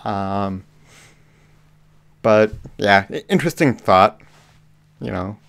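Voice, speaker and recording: A man hosting a live movie chat, slightly hoarse with a cough, talking casually with lots of ums. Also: on the low side, takes it slowly. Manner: relaxed, frequent ums and restarts. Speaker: livestream host. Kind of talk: casual live chat reading viewer comments.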